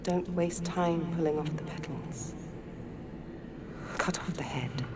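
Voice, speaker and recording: An otherworldly female spirit guiding a hero in a fantasy war game. She speaks extremely slowly in a lowish, portentous voice, with clear ambient noise around her. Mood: cryptic urging. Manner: slow, portentous, cryptic. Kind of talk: dramatic video game acting. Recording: noisy ambience behind the voice.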